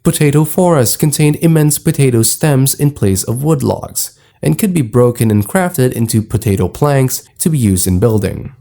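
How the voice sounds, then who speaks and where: A man's voice: mid-range voice, mellow. A man reading scripted narration for an explainer video in a formal, documentary-like manner.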